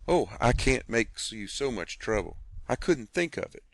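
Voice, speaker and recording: A man's voice: medium pitch, very animated, normal speed, creaky, dry recording. Man playing a sly teen boy, wheedling and innocent-sounding. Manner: mock-humble, wheedling.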